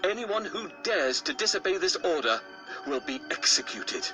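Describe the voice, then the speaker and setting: Man playing a commanding storybook king, animated, music bed behind. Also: sits high, moderate pace, full character voice. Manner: authoritative, issuing orders. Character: commanding king, stern and protective.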